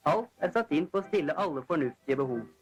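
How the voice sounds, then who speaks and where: Higher-voiced man, formal vintage newsreel narrator. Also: lively intonation, poor audio. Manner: formal, declamatory announcer delivery. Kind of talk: scripted 1940s newsreel narration. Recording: vintage 1940s film soundtrack, music behind. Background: newsreel background music.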